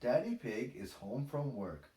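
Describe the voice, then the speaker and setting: A man reading a children's storybook aloud in an expressive, deliberate narration style. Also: medium pitch. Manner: animated, deliberate delivery. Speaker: storybook reader. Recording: echoey, roomy sound.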